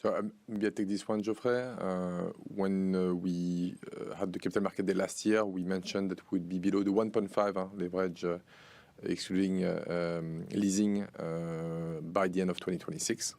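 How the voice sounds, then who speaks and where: A man answering investor questions as a finance executive on an earnings call, speaking in halting phrases with many pauses. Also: sits low, level tone, darkish tone, dry recording. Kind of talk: earnings call Q&A, unscripted explanation.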